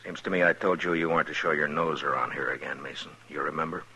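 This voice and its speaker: An actor playing a calm, laconic frontier marshal who narrates and speaks in a measured, firm way, in a 1950s radio western. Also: male voice, rumbling low, vocal fry, breathy edge, heavily acted.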